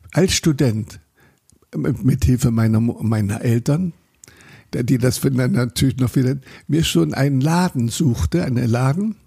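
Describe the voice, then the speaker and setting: An older man, his voice gravelly and husky. He tells his memories in a rambling way, breaking off and restarting sentences as he goes. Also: medium pitch, mellow. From Saxony, Germany. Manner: rambling, self-interrupting storyteller with many restarts. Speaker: interviewee, photographer. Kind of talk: recollecting in a conversational interview.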